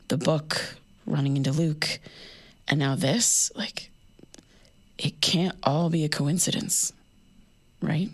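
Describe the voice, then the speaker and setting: A young woman playing a guarded, shaken podcaster in an audio drama, talking in a halting, conversational confessional monologue. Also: low-pitched. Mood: anxious, searching.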